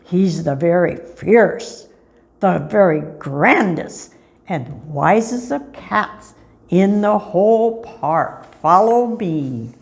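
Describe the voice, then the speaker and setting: An older woman voicing a boastful, excitable male cat in a children's storybook, pompous and full of exclamation. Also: fairly low pitch, takes it slowly, vocal fry, full character voice.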